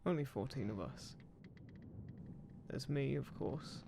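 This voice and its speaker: Gravelly male ghost pirate captain, acted by a man, guarded, measured, dryly gruff, intimate fantasy audio drama, creaking wooden ship behind. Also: mid-range voice, lively intonation, noisy background. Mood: calm, matter-of-fact. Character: guarded ghost pirate captain, fiercely protective of his crew. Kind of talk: immersive roleplay audio drama, spoken intimately to one listener. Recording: clear background ship-creak sound effects. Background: creaking wooden ship ambience.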